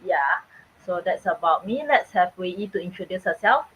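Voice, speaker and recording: A woman's voice: lowish voice, expressive, normal speed, a bit bright, husky. A middle-aged woman, speaking casually as a co-presenter. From Singapore. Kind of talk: casual self-introduction. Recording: dull, faintly noisy video call audio.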